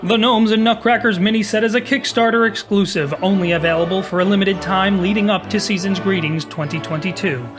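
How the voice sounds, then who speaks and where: A man's voice: high voice, fairly quick, hardly any pauses. A man reading a cheerful scripted product ad with a salesy delivery. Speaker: ad narrator. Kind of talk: upbeat scripted ad read. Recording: music bed behind.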